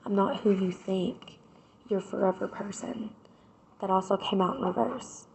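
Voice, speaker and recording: A woman, hoarse from a cold, doing a casual tarot reading and chatting straight to her viewers. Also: mid-range voice, steady tone, unhurried, slight background noise, low-quality recording. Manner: chatty, addresses viewers directly with repeated "right?". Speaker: tarot reader.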